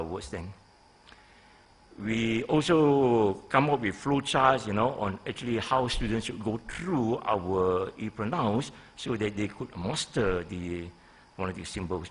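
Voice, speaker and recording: A man in his sixties with a Malaysian accent, a professor giving a deliberate, halting conference presentation into a lectern microphone, stressing key phrases. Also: lowish voice, breathy edge. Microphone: gooseneck lectern microphone.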